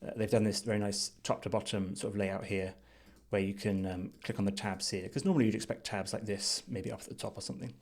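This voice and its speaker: A man giving unscripted screen-share commentary as a reviewer, thinking aloud in a measured, thoughtful way. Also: lowish voice, steady tone, a little mellow. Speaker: design reviewer.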